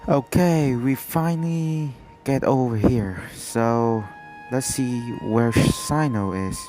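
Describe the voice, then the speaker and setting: Slow-paced man giving gameplay commentary. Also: medium pitch, warm tone, faint music behind. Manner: non-native English phrasing. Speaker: streamer reacting to a game.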